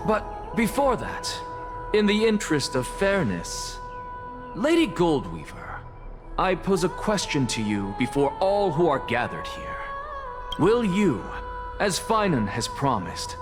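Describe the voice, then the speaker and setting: Smug genius sage, condescending, very lively pitch. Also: male voice, unhurried, over music. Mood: measured. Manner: cool, condescending and precise. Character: arrogant, sardonic male scholar-sage. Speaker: scholar and sage casting a vote. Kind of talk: video game voice acting.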